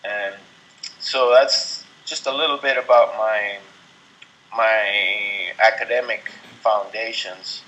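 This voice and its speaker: A middle-aged man from Venezuela answers interview questions at an unhurried pace, his voice sitting a little low. He pauses often, filling gaps with ums and restarting his sentences as he thinks aloud. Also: steady tone, bright, forceful tone, lo-fi sound. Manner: slow, hesitant, many ums and restarts. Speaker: interview guest, artist and composer. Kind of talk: casual interview talk.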